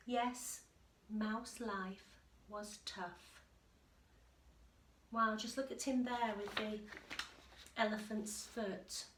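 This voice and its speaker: Echoey, unhurried woman reading a children's storybook. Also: fairly high pitch. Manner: animated, deliberate storyteller with emphatic delivery. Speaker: infant school teacher reading aloud. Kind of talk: expressive children's picture book reading with chatty asides. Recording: roomy, echoey indoor sound.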